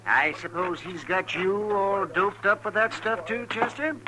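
An actor playing a cranky, indignant town doctor in a 1950s radio western, scolding and emphatic. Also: male voice, high-pitched, measured pace. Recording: hissy 1950s radio recording.

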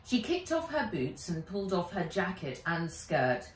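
A woman's voice: fairly low pitch, big pitch swings, unhurried. A middle-aged British woman, an author reading her storybook aloud to children. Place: bright room by garden windows, indoors. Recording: roomy, a little distant from mic.

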